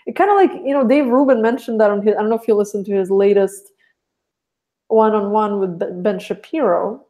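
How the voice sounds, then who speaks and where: A woman's voice: mid-range voice, fairly quick, dark tone. A young woman from Russia, a podcast guest talking casually and rambling with many restarts.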